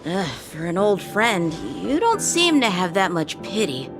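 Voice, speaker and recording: A woman's voice: mid-range voice, big pitch swings, unhurried, music in the background. A woman voicing an inquisitive, teasing female warrior in a video game, speaking in a conversational, probing way. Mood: skeptical. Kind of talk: dramatic game dialogue.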